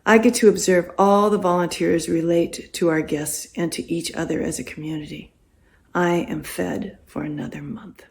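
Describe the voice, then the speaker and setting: An older American woman reading a prepared personal testimony as a volunteer, in a deliberate, expressive storytelling way with pauses. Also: fairly low pitch, creaky. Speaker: congregation volunteer giving testimony.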